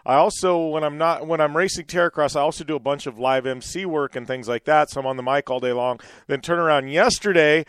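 A man hosting a radio show in a relaxed, rambling way, his voice scratchy and worn from a cold. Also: on the high side, brisk, few pauses, clear, resonant tone, no room sound, clean studio sound. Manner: laid-back, filler-heavy, says uh a lot. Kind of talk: casual radio chat.

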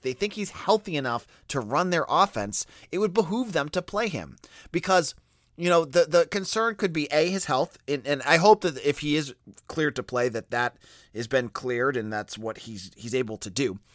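Animated man with a Boston accent. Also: on the high side, no room sound, clean studio sound. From Boston area. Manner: animated, thinking aloud with restarts. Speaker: podcast host. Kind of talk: casual sports podcast talk.

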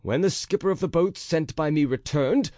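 A man's voice: a little high, sing-song, brisk, a little mellow, no echo, studio quality, voice pushed up. A man voicing a fiery, proud musketeer captain who threatens with raised, intense force. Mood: furious. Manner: raised, intense, menacing delivery.

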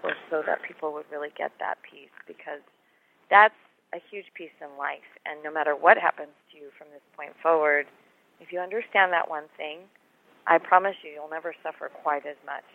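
A woman author, slightly hoarse, talking earnestly and reassuringly about her book in an interview. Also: deep voice, fairly even intonation, normal speed. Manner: earnest, reassuring, repeats words. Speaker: author and guest. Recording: phone line audio.

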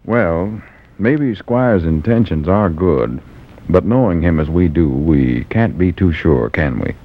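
A man reading a 1940s radio commercial as announcer, measured, emphatic and persuasive. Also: low voice, no room sound. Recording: thin, narrow-band vintage radio recording.

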